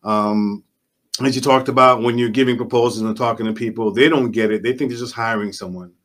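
A man from Jamaica who was raised in the Bronx speaks as a chef guest on a podcast. His voice sits at an average pitch, and his talk is casual, reflective and colloquial, dotted with conversational tags. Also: fairly even intonation, brisk, fairly bright tone. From Jamaica, raised in the Bronx, New York.

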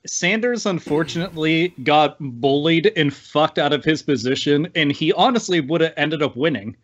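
A man's voice: fairly high pitch, moderate pace, lo-fi sound. A man chatting casually and comically as a talk show panelist, swearing freely in rambling riffs. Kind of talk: casual comic political chat.

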